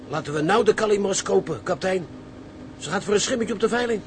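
Blunt eager ship engineer, fairly high voice, radio play, rumbly noise behind. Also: male voice, animated, fast talker. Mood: eager, surprised. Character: eager, questioning ship's engineer. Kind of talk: Dutch radio drama acting.

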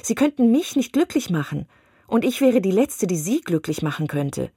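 A woman's voice: mid-range voice, very animated, normal speed, warm tone, slightly rough, no room sound, studio quality. A woman voicing a spirited young woman who firmly and precisely refuses a suitor, in an audiobook. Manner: firm, exasperated, precise. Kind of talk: audiobook reading of a classic novel.

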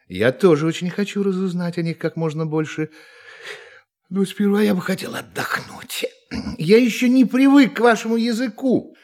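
An actor in a radio play voicing a polite, whimsical, impulsive alien tourist who speaks in a hesitant, musing way. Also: male voice, on the high side, darkish tone. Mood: mildly weary.

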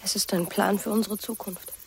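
An actress playing a gentle, hesitant woman in a radio drama, soft and formal in her delivery. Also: medium pitch, steady tone, moderate pace, gravelly, slight background noise, close to an everyday voice. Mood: hesitant, hopeful. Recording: faint insect ambience behind voice.

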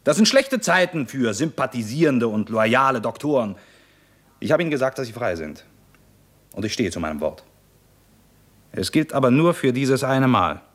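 An actor playing a stern, menacing revolutionary military commander in a 1950s German radio play, speaking in a measured, sardonic, interrogating manner. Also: male voice, mid-range voice, big pitch swings. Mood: quiet warning.

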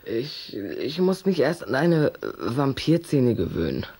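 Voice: medium pitch, sing-song, takes it slowly, mellow, husky, heavily acted. A young performer playing a shy, sulky boy in a children's radio play, hesitant and defensive in manner. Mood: uneasy.

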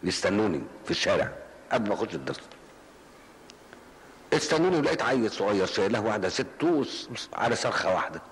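A man's voice: on the low side, sing-song, quick pace, long pauses, big-room sound, rough recording. An older man with an Egyptian Arabic accent, giving a colloquial, story-driven lesson in a mosque and repeating phrases for emphasis. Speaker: preacher. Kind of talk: mosque lesson answering questions. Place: mosque hall.

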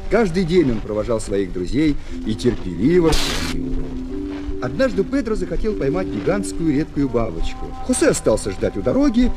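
A man narrating a children's adventure story in an expressive, theatrical way. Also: mid-range voice. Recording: old flexi-disc, music behind.

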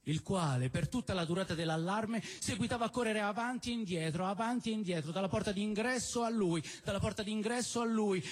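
A man giving an expressive, theatrical reading of literary prose as a storyteller, with emphatic phrasing and deliberate pauses. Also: sits high. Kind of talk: expressive dramatic reading of a novel. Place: live stage at a celebration event in a cinema venue.

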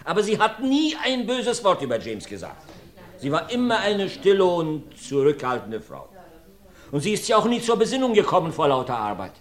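Folksy rambling farmer witness, slightly high voice, roomy studio, radio play. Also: male voice, huge pitch swings, normal speed. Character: rustic male farmer, chatty court witness. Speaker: witness in a courtroom.

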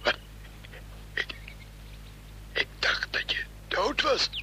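An actor playing a frightened traitor in hiding in a radio drama, stammering and incredulous. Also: male voice, extremely slow, sharp tone, in a whisper, full character voice. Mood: shocked, fearful.

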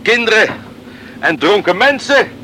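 Proud, forceful, aggrieved man. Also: sits high, sing-song, a little slow, heavily acted, low-quality recording. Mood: proud, bitter. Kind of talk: Dutch radio play acting. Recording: old radio recording with faint noise.

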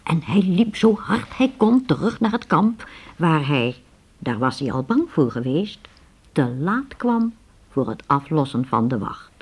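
A woman with a husky voice narrating a radio play as a dramatic, scripted storyteller. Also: deep voice, big pitch swings, measured pace.

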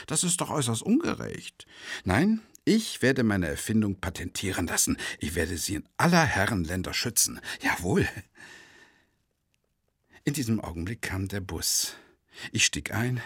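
An actor playing an unhinged psychiatric patient in a radio play monologue, theatrical and rambling, with a husky voice. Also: male voice, low-pitched, no room sound, studio quality, full character voice. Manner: theatrical, rambling, swinging from musing to agitated. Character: unhinged male psychiatric patient confessing to his doctor.

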